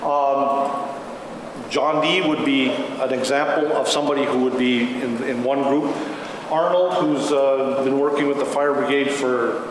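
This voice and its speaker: A man facilitating a public meeting, explaining things in a halting way as he addresses the room. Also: medium pitch, steady tone. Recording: very echoey hall, clear background noise.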